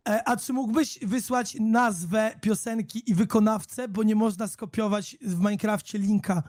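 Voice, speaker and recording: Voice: mid-range voice, fairly even intonation, moderate pace, darkish tone, dry recording, studio quality. Polite Polish-speaking player on voice chat. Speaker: fellow game player. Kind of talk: casual gaming chat.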